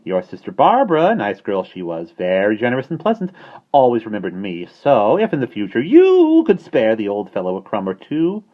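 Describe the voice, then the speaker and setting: A cheeky male starling from a storybook, harsh and croaking, pitched far above a deep voice and swooping loudly up and down. He chatters away with a haughty, wheedling edge, on a dull, lo-fi recording. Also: wildly swooping pitch, normal speed.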